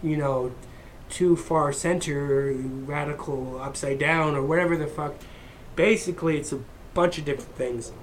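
A man's voice: a little high, normal speed, slight background noise, rough recording. A man vlogging in a heated, profane, rambling rant to his viewers. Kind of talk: unscripted rant to camera.

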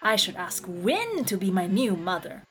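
A woman voicing a spoilt little boy kitten in a storybook, calling out in a shrill, strained, pleading way. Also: big pitch swings, normal speed, slight background noise, lightly acted. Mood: eager.

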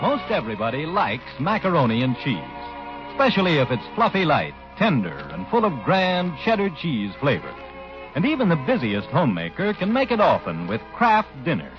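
An American man reading scripted advertising in a 1940s radio announcer's style. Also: a little high. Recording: dull vintage radio recording with music. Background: music.

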